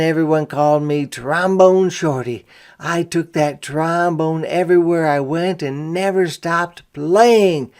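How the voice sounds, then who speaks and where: A man reads a storybook aloud for young children in a slightly high voice with noticeable creak. His pace is slow and deliberate. He delivers the story expressively, like a teacher guiding little listeners. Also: a little mellow. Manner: dramatic, deliberate delivery with emphasized character lines. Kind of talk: expressive picture-book read-aloud with simple explanatory asides.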